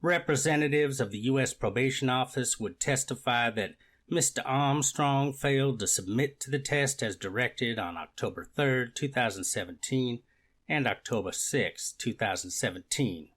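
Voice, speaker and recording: Court official, played by a man: middle-register voice, measured, procedural, scripted legal reading. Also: medium pitch, fairly bright tone, husky. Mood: formal. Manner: measured, formal, methodical. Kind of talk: amateur readers theater, scripted legal reading.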